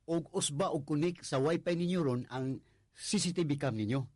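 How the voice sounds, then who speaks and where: A man with a Cebuano accent playing a sly, lustful, deferential guard in a radio drama, stammering and muttering to himself in a husky, breathy voice. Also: on the high side, normal speed, a little mellow, no room sound, clean studio sound, close to an everyday voice. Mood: helpful. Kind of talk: Filipino radio drama acting.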